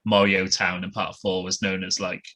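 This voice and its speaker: A man's voice: low voice, monotone, normal speed, bright, forceful tone. A man hosting a podcast, chatting eagerly and casually with a guest. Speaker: podcast host. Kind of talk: casual interview chat.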